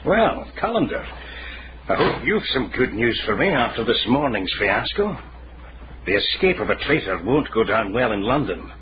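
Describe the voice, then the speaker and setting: A man's voice: medium pitch, big pitch swings, moderate pace, close to an everyday voice. A man playing an irritable, haughty eighteenth-century Lord Provost in a radio drama, curt, sardonic and authoritative. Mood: irritated, guarded. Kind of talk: radio detective drama acting. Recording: muffled lo-fi radio drama, background noise.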